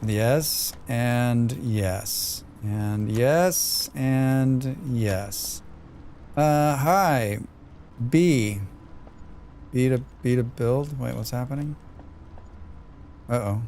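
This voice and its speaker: A man's voice: medium pitch, drawn-out words, long pauses, a little mellow. A man with a scratchy voice, muttering and thinking aloud as he streams a game. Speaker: streamer playing a demo. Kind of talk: live gameplay commentary.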